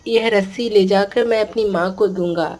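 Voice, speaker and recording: A woman's voice: mid-range voice, fairly even intonation, normal speed, darkish tone, close to an everyday voice. A woman voicing an earnest young village boy eager to help his mother, in a Hindi cartoon. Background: background music.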